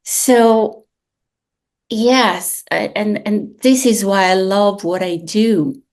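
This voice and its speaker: A woman in her late forties, speaking English at a middling pitch. She hosts a podcast in a relaxed, conversational manner and tells her stories with lively, animated energy. She often restarts her sentences as she talks. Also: very slow. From Bulgaria. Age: 40s. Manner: expressive, animated storyteller with frequent restarts. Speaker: podcast host.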